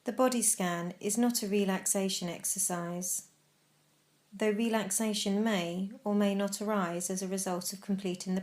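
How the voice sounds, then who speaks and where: A woman narrating a guided meditation as instructor, in a measured, instructional manner with deliberate pauses. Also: mid-range voice, darkish tone.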